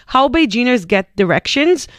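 A woman hosting a radio show, telling stories in a chatty, animated way. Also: fairly high pitch, moderate pace, no echo, clean studio sound. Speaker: radio host. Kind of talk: casual radio chat. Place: radio studio.

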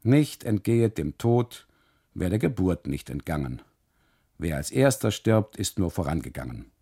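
A man's voice: deep voice, very animated, a little slow, dark tone, slightly rough, full character voice. A man voicing a mocking, leisurely custodian in a literary radio monologue, speaking formally and declaiming without hurry. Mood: declamatory, mocking. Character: mocking, leisurely smoking male custodian, coolly expansive.

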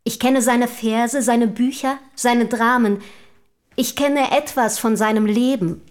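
A woman's voice: fairly high pitch, fairly even intonation, slow pace. An actress in a German radio play voicing an eager, self-assured young woman of a rich family, speaking formally and articulately. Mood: polite, insistent.

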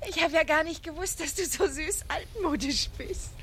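High, girlish sweetheart, noisy radio play. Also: normal speed, fairly bright tone. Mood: delighted tender. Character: sweet, girlish, shaken young woman in love, crime drama. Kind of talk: 1970s German radio play acting. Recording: noisy vintage radio drama recording.

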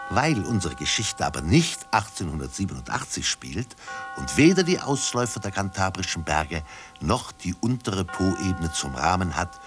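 An actor playing a pompous radio announcer who narrates a story solemnly and theatrically. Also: male voice, extremely low pitch.

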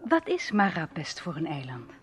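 An actress playing a spirited young woman abroad, expressive and questioning, in a Dutch radio drama. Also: low voice, faint music behind, full character voice. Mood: playful, wry. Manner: expressive, questioning, quick to demand answers.

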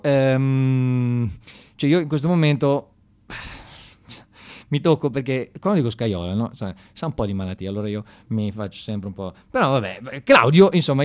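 A man performing a satirical theatre monologue, conversational and halting, with ironic mock praise and a readiness to get excited. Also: medium pitch.

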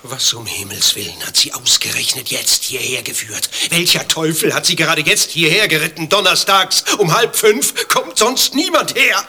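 An actor playing a vain, easily riled adulterer in a satirical German radio play, long-winded and self-justifying. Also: male voice, fairly high pitch, animated, moderate pace, hardly any pauses, sharp tone, husky, full character voice. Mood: irritated, pleading. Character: vain, thin-skinned adulterer waiting for his lover.

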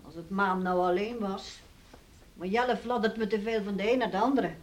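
An actress playing a sober, worrying farmer's wife and mother in a radio drama, measured and mildly reproving. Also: mid-range voice, lightly acted. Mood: disapproving. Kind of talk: Dutch radio drama acting.

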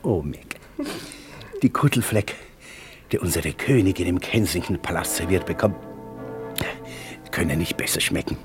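A man's voice: low-pitched, expressive, moderate pace, long pauses. An actor playing a poor, tender old porter and father in a 1950s German radio play, with a theatrical, savouring delivery. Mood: warm, devout. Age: older. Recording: 1950s radio production with music.

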